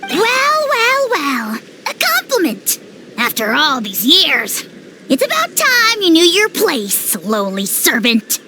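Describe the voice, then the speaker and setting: Pompous squeaky little dragon lord. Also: female voice, huge pitch swings, slow, bright, forceful tone, a little hiss or hum, full character voice. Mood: smug, haughty. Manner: grandiose, theatrical, haughty. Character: tiny pompous self-important dragon lord, bratty and vain. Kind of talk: video game voice acting.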